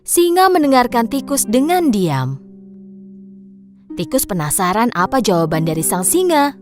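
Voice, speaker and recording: A woman narrating a scripted children's story in an expressive storyteller's manner. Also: a little high, unhurried. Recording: music behind the voice.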